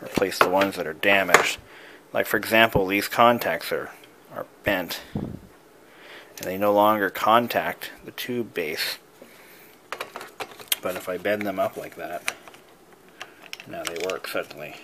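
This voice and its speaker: A man giving a casual, unscripted show-and-tell as a hobbyist, thinking aloud in a musing, halting way. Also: sits low, very noisy. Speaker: hobbyist showing an old device he is restoring.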